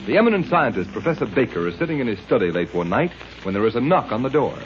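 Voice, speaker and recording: A man's voice: mid-range voice, sing-song, fast talker, a little hiss or hum. A man announcing in a formal, dramatic, scripted 1930s radio style. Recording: muffled 1930s radio recording. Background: music.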